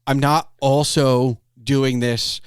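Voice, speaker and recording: A man's voice: medium pitch, flat delivery, slow pace, clear, resonant tone, no room sound, clean studio sound. A middle-aged man in casual podcast conversation, rambling and digressive, often breaking off his clauses to start another. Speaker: podcast host.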